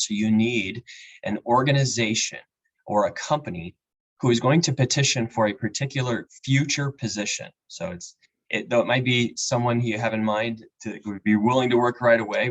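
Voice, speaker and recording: A man, an immigration attorney, giving a measured explanatory talk at a webinar with frequent fillers. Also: lowish voice, husky, rough recording. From Peru. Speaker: immigration attorney, guest speaker. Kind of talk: webinar presentation. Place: home or office with a map on the wall.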